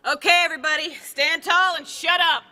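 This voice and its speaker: Very sharp-voiced brisk female officer. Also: extremely high pitch, steady tone, heavily acted. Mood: brisk, commanding. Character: brisk, bossy female military second-in-command. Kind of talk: audio drama acting.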